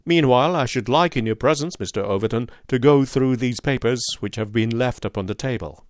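Incisive detective read by a man, measured, precise, dryly ironic, mid-pitched, dry close sound, audiobook. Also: no echo, natural voice. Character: calm, incisive adult male detective.

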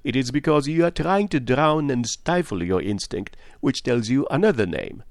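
A man voicing a shrewd, calm and courteous detective in an audiobook, with a measured, probing manner. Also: medium pitch, no room sound, pitched above the performer's own voice, lightly acted. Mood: insistent, calm. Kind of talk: audiobook character voice.

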